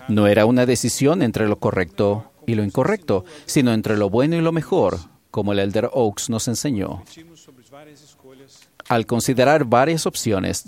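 Low-voiced man giving a formal sermon. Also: darkish tone. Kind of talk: interpreted sermon.

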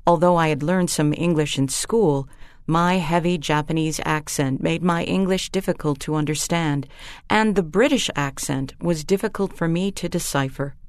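A woman reading a first-person story aloud in an even, measured way, recorded close to the microphone. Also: low voice, no echo. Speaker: reader.

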